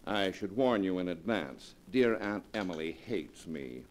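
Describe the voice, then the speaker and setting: An actor playing a scheming nephew in a 1940s radio drama, speaking in a suave, mocking way. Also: male voice, deep voice, lively intonation, measured pace, heavy background noise. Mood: sardonic. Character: scheming nephew, smooth and sardonic. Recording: old radio broadcast recording.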